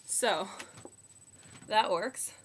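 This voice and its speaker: A young woman with a slightly croaky voice from a cold, chatting upbeat about new books into a webcam in her bedroom. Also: mid-range voice, a little hiss or hum. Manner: chatty, upbeat, quick asides. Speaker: book vlogger. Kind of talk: casual book haul chat. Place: bedroom at home. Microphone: webcam at desk.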